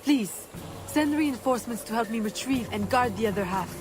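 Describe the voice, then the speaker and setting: A woman's voice: fairly high pitch, normal speed, music in the background, close to an everyday voice. An actress voicing a determined, defiant young woman assassin in a video game, earnest and insistent. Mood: pleading, defiant. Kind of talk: dramatic video game voice acting.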